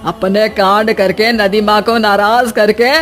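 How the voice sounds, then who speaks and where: A woman's voice: mid-range voice, steady tone, unhurried, fairly bright tone, lightly acted. A woman voicing an angry, superstitious villager, grumbling and accusatory, in a moral story. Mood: angry accusing.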